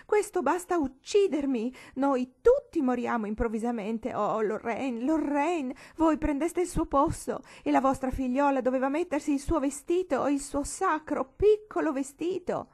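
A woman voicing a hysterical elderly lady in an audiobook, wailing and shrill, weeping as she cries out. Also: a little slow, hardly any pauses, darkish tone. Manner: wailing, high and shrill, drawn out.

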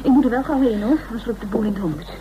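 An actress playing a sharp-tongued, breezy, gossipy woman with a theatrical, sarcastic manner in a Dutch radio crime serial. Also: fairly high pitch, lively intonation, very fast, lightly acted. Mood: chatty, impatient. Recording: dull radio recording with hiss.

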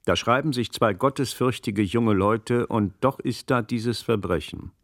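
An actor playing a troubled, learned magistrate in a German radio play, gravelly, with measured, brooding, literary speech. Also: male voice, medium pitch, fairly bright tone, no room sound, clean studio sound. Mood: brooding, weary.

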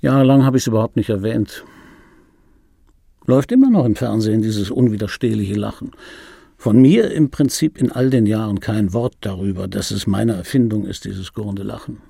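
A male actor playing a vain, aggrieved middle-aged dubbing actor in a German radio drama monologue, insistent and repetitive, with a raspy voice. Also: fairly low pitch, normal speed, dark tone, dry recording, clean studio sound. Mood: proud, aggrieved. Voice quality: raspy, gravelly. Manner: insistent, repetitive, self-justifying. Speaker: narrator of a radio play. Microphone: close studio microphone, dry.

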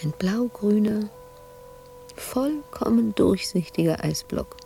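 An actress playing an anguished, brooding woman sculptor confined in an asylum in a radio drama, with a husky, intimate delivery that dips into whispers. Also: mid-range voice, animated, slow pace, mellow, faint music behind. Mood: hushed, haunted. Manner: intimate monologue, dropping into breathy whispers.

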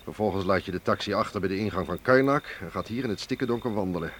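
An actor playing a shrewd, protective man in a radio drama, probing and teasing in a measured way. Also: lowish voice, fairly bright tone, close to an everyday voice. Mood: probing concern. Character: shrewd, protective Russian man, burly professional agent. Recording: clear noise, outdoor night insect ambience. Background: chirping crickets.

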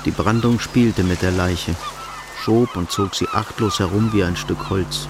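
A man's voice: lowish voice, a little slow, background noise. A man reading scripted literary narration for a radio drama.

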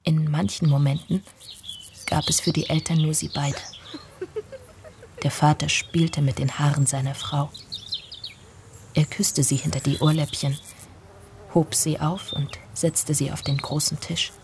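An actress playing an observant neighbour who recalls a family, in measured literary radio drama narration. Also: female voice, low voice, level tone, background noise. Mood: observant, wistful. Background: music.

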